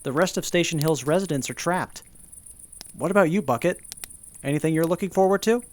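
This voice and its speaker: A man playing a quick-talking, earnest traveler. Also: a little high, darkish tone, slight background noise, close to an everyday voice.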